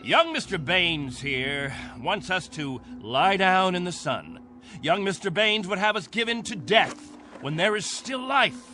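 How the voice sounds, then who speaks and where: An actor playing a stern, fervent captain of a space colony in a radio drama, with an oratorical, authoritative delivery. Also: male voice, high-pitched, lively intonation, unhurried, bright, forceful tone, a little hiss or hum, exaggerated voice. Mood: scornful oratory.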